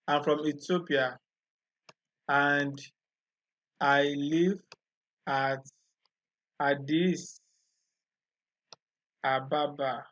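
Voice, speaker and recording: A man with a slightly high, bright voice talks slowly and patiently through a coding tutorial. He explains each step and often repeats himself. The recording sounds dull and lo-fi. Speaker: programming tutor. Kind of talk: screen-recorded coding walkthrough.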